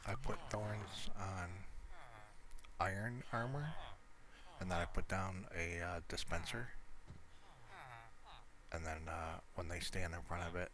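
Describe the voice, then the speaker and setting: A man's voice: deep voice, slow pace, long pauses, noisy background. A man giving casual gameplay commentary, rambling and thinking aloud as he shows his game world. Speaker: gamer showing his Minecraft world.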